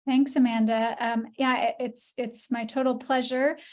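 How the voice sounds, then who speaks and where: A woman moderator introducing a guest speaker on a video call, friendly and halting, with many fillers. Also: a little high, level tone.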